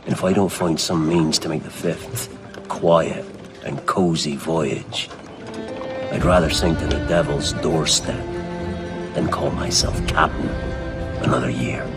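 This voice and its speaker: Deep, gruff, weary pirate captain. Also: male voice, music in the background. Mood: weary, resigned. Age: 40s. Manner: slow, theatrical, salty seafaring speech. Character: weary older pirate captain, gruff, proud, longing for rest. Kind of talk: video game cutscene acting.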